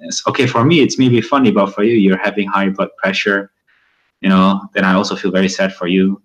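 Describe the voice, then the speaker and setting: A man's voice: deep voice, flat delivery, brisk. A man whose first language is Dutch, calling in to a show and speaking sincerely, with some hesitation. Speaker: caller. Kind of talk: phone-in conversation. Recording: dull lo-fi call audio.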